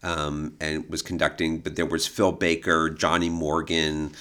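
A man's voice: very deep voice, little pitch movement, unhurried, fairly bright tone, smooth. A man hosting an informal podcast, explaining history in detail with an enthusiastic, knowledgeable manner. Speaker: podcast host and expert guide.

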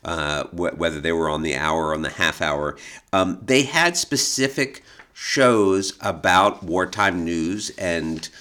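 A man's voice: low voice, slow, bright, forceful tone. A man co-hosting a podcast, telling anecdotes in a casual conversational style and acting out the quoted dialogue. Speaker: podcast co-host. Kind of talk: casual conversational storytelling about art history.

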